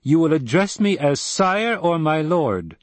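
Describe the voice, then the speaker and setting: A man's voice: on the high side, very animated, unhurried, dry recording, voice pushed up. A male narrator voicing a proud young nobleman who speaks with commanding force. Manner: imperious, forceful delivery.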